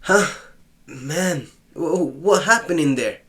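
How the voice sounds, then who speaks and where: A man commenting casually on a game, joking and reacting with quick asides and stammers. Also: on the high side, expressive, a bit bright. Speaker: let's play host.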